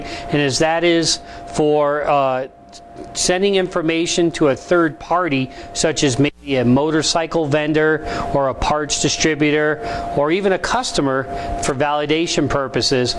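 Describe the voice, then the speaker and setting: A man with a slightly high voice presents a business tool like a salesman. He explains things informally and instructively. Faint noise and vehicle ambience sit behind him. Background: ambient vehicle noise in a motorcycle service department.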